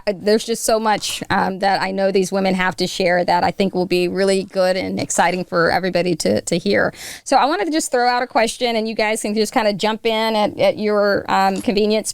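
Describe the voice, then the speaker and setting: A woman's voice: medium pitch, vocal fry, clean tone, no echo. A woman hosting a podcast panel, enthusiastic and encouraging as she draws out her guests. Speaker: podcast host. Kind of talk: casual panel conversation.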